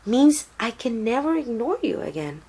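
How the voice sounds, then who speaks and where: A woman reading the part of a loving, proud activist mother in a storybook, gentle and earnest. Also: fairly high pitch, sing-song, normal speed, breathy edge, pitched above the performer's own voice, low-quality recording.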